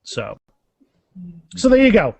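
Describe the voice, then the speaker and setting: Higher-pitched man chatting casually in an interview. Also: wildly swooping pitch, slow pace, creaky, a little mellow, breathy edge, no echo. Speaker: author interviewed as podcast guest. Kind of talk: casual interview chat with anecdotes.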